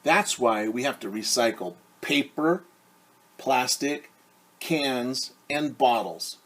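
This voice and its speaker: A man's voice: medium pitch, animated, slow pace, fairly bright tone. A middle-aged man, a teacher, reading a picture book aloud to children and explaining things simply. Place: small room at home.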